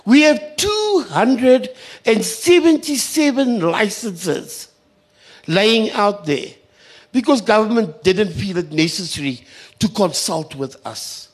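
A man's voice: high-pitched, animated, takes it slowly, slightly rough. A South African man giving an impassioned panel speech, indignant and sarcastic, hammering phrases home by repeating them. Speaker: community radio sector representative on a panel.

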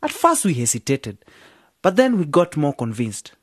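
A man's voice: on the high side, huge pitch swings, moderate pace, dry recording, clean studio sound. An actor playing an earnest young male journalism student who runs a community radio station. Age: young adult. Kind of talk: radio drama acting.

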